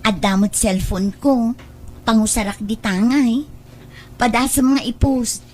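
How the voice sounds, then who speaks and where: A woman plays a chatty young friend in an Ilocano audio drama. She has a fairly high voice and an Ilocano Filipino accent. Her manner is curious and exclamatory, with mild teasing. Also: fairly even intonation, a little slow, a little mellow, low-quality recording. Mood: surprised, teasing.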